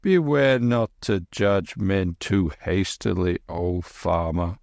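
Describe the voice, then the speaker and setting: A man voicing an old, gentle holy man who speaks in dignified, archaic phrases. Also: low voice, slow pace, gravelly, no room sound, full character voice. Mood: solemn. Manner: solemn, archaic, dignified speech.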